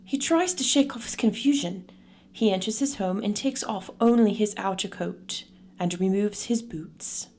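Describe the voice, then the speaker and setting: A woman's voice: medium pitch, normal speed, darkish tone, lightly acted. Woman as storyteller, noisy recording. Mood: measured. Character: storyteller narrating a classic tale. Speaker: narrator. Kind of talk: scripted radio drama narration.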